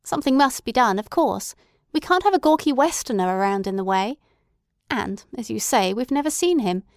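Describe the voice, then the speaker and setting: A woman voicing an impatient, disparaging middle-aged mother who talks briskly and fussily. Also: a little high, animated, dry recording, studio quality.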